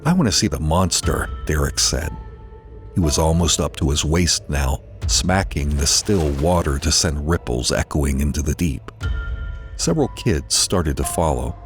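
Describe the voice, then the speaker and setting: A man's voice: a bass voice, measured pace. A man narrating a dramatized first-person horror story, with music behind him.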